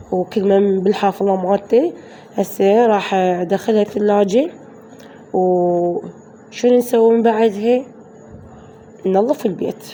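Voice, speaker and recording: A woman with an Iraqi Arabic accent, hoarse from a flu, chatting through a voice-over of her daily home routine for her viewers. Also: medium pitch, mellow, a little hiss or hum. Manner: chatty, addresses viewers as girls. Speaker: vlogger.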